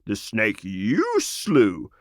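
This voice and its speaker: A man's voice: lowish voice, huge pitch swings, drawn-out words, no echo, clean studio sound, exaggerated voice. A man voicing a proud, noble lizard wizard in a storybook, speaking in a solemn, declamatory way.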